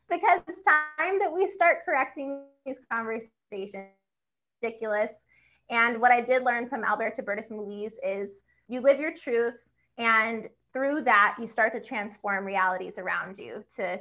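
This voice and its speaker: A young woman author with a high voice, speaking outspokenly and laughing often in a book event conversation. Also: unhurried, sharp tone, poor audio.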